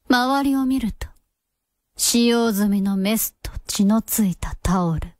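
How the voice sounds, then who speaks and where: A woman's voice: mid-range voice, darkish tone, no echo, studio quality. A woman delivering scripted narration as the narrator of a radio drama. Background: music under the voice.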